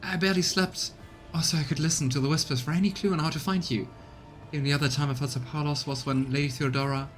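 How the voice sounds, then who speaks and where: A man's voice: fairly high pitch, steady tone, fast talker, faint music behind, lightly acted. A man voicing an anxious, devoted female officer in a video game, earnest and worried. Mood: flustered relief.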